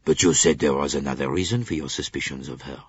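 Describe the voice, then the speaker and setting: A man voicing a courteous, puzzled gentleman in an audiobook, with a slow, doubtful manner. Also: extremely low pitch, vocal fry, slightly rough, dry recording, voice pushed down, full character voice. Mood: thoughtful. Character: puzzled, courteous male French-speaking gentleman, doubtful friend. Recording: muffled lo-fi audiobook recording.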